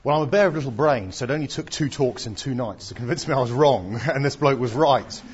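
A man's voice: medium pitch, a little fast, husky, highs cut off. A middle-aged Englishman giving his testimony in an onstage interview, with dry self-deprecating humour and plenty of ums. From England.

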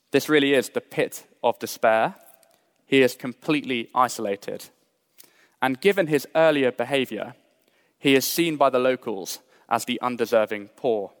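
A young adult man from Britain preaching a sermon in a measured, earnest way, mixing scripture with personal stories. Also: medium pitch. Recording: long hall reverb.

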